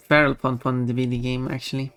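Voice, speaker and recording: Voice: mid-range voice, a bit bright. Rambling casual streamer over background music. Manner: rambling, self-correcting, giggly asides. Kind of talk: casual livestream chat. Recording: music behind the voice.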